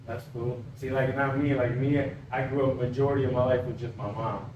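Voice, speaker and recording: A man's voice: mid-range voice, steady tone, a little fast, reverberant, distant mic, dull audio, noisy background. A Cuban-born man in his forties hosting a casual podcast interview, encouraging and repeating words as he talks. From Cuba. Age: 40s. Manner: encouraging, repeats words while searching for them. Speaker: podcast host.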